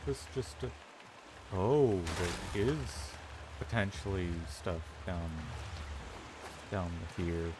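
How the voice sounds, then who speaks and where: A man's voice: deep voice, expressive, slow pace, pauses often. A man giving casual, unscripted gameplay commentary as a streamer, puzzled and thinking aloud in fragments. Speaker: game streamer. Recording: clear game noise behind voice. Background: video game sound effects and ambience.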